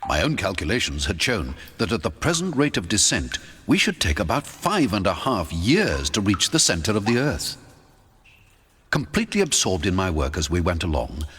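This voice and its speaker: A man narrating a first-person adventure audiobook in a theatrical, expressive storytelling manner. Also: low voice, normal speed, slight background noise. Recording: long hall reverb.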